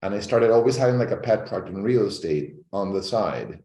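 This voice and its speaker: A man tells his story in a fast, animated interview. His voice is very low and mellow. It sounds like he is in a roomy, echoing space. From Venezuela. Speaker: real estate agent guest. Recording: echoey room.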